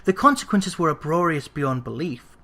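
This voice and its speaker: A narrator reading classic literature in a measured, expressive storytelling manner. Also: mid-range voice. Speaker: audiobook narrator.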